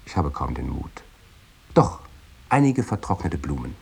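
An actor voicing a devoted, self-doubting letter writer in a radio drama, intimate and hesitant. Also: male voice, rumbling low, very animated, a little slow, gravelly, a little mellow, slightly rough, heavily acted.

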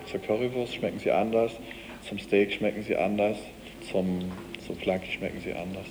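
Low-voiced halting man in long reverb. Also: steady tone. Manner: halting, searching for words. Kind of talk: reflective casual opinion in an audio collage. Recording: noisy cassette, long hall reverb.

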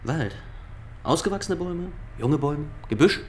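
A man's voice: fairly high pitch, animated, normal speed, a little mellow, close to an everyday voice. Man acting as young state inspector, formal, pedantic, insistent, radio drama, background noise. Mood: probing, pedantic. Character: stiff, officious young male state inspector questioning farmers.

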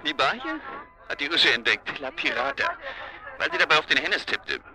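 An actor playing a detective reporting from the field in a radio drama. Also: male voice, fairly high pitch, big pitch swings, normal speed, rough recording. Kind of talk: naturalistic German radio drama acting.

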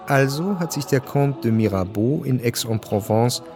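A man narrating a scripted radio drama in a measured, deliberate way. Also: mid-range voice, expressive. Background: music.

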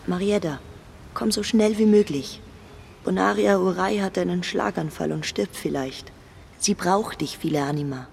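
A man's voice: high voice, moderate pace, gravelly, darkish tone. An actor playing a grave, urgent male messenger in a radio drama, heard in long reverb. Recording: long reverb, faint noise.

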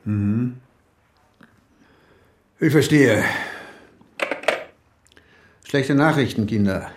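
A police chief in a roomy space. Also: male voice, medium pitch, drawn-out words. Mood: sober. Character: male police chief, sceptical and commanding. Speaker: actor in a crime radio drama. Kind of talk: German radio play dialogue.

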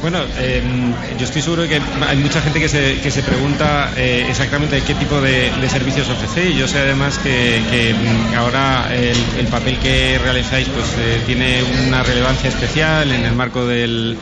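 Male cohost asking interview questions, hesitant, normal pitch, muffled w music in back. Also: level tone, moderate pace, hardly any pauses. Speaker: radio co-host. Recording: dull sound, music behind.

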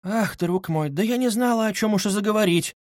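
A man's voice: sits high, a little fast, darkish tone, dry recording, studio quality, pitched above the performer's own voice, lightly acted. A man voicing an anxious, tender older mother who speaks in a flustered, gushing, placating way.

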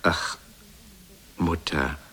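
Slow-spoken, courtly aging gentleman adventurer. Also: extremely low pitch, fairly even intonation, breathy edge, heavily acted. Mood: flustered, breathless. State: out of breath. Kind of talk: German radio play acting.

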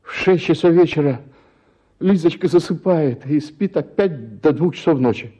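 A man's voice: a little high, normal speed, mellow, close to an everyday voice. An actor playing a doting, henpecked husband who coos, apologizes and frets as he narrates. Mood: fretful. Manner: cooing, apologetic, anxious. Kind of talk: radio play acting and narration. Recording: dull lo-fi with faint music.

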